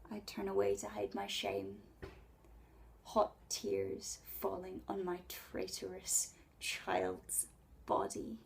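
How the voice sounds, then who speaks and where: A woman in her twenties performing a spoken word poem in a breathy voice, with intimate, deliberate dramatic phrasing. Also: mid-range voice, sing-song. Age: 20s. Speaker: poet. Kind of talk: spoken word poetry recital.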